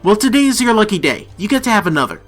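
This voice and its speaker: An actor playing a harried, defiant small-town police chief in a radio drama, blunt and sarcastic. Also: male voice, high-pitched, sing-song, racing, fairly bright tone, soft background music. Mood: harried, brisk.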